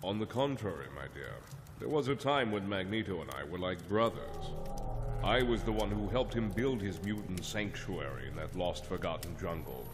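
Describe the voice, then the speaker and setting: A man plays a calm, formal mentor with a very deep, unhurried voice. He lectures in measured tones. His lines ring out with a long reverb and faint music underneath. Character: wise, calm older male telepath mentor. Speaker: voice actor. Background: music score.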